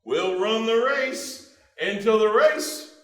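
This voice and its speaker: A man's voice: sits high, animated, slow, heavy background noise. A man playing a menacing boogeyman judge who chants theatrical nonsense rhymes on stage. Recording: echoey room, far from microphone.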